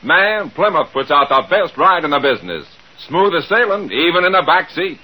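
A man with an American accent and a husky edge, delivering a punchy 1950s radio car advertisement. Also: fairly high pitch, big pitch swings, moderate pace, sharp tone, poor audio. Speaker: commercial announcer. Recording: old 1950s radio broadcast sound.

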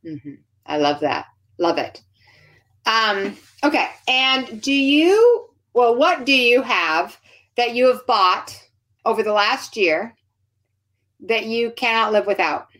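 Woman in her forties, podcast host, chatty, with a slightly higher voice. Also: lively intonation, slow, fairly bright tone. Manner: friendly, rambling, lots of fillers. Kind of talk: casual interview chat.

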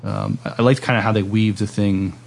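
Male podcast host, lowish gravelly voice, casual and agreeable, feeling his way haltingly through sentences. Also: fairly even intonation. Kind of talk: casual interview chat.

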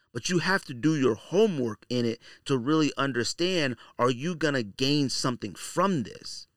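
A man's voice: mid-range voice, fairly bright tone, no echo, studio quality. A man hosting a solo podcast, talking casually and directly to listeners in slangy, opinionated speech, repeating phrases for emphasis. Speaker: podcast host.

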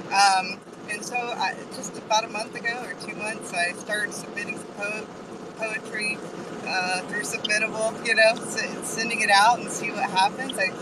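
A woman in her forties, a truck driver, introducing herself in a casual audio chat in a candid, self-deprecating way with many ums while driving. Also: medium pitch. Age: 40s. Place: truck cab on the road. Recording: distant mic with loud road noise.

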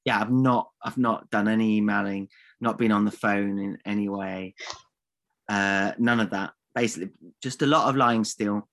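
A man's voice: fairly low pitch, steady tone, normal speed, gravelly, fairly bright tone. A man co-hosting a comedy podcast, teasing and theatrically mock-insulting his partner. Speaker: podcast co-host. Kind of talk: comic banter.

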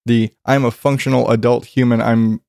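Stuffy congested dude ranting about his yard, cussing a lot, mid-pitch voice, dead dry room. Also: level tone, normal speed, gravelly, a little mellow, no echo. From Arizona, US. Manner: profane, rambling, self-deprecating. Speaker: vlogger. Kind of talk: casual rant.

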